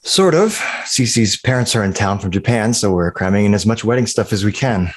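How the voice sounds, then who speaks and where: A man's voice: lowish voice, lively intonation, moderate pace. A man acting a polite, hesitant doctor in his thirties at a table read. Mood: awkward. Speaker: actor in a script table read.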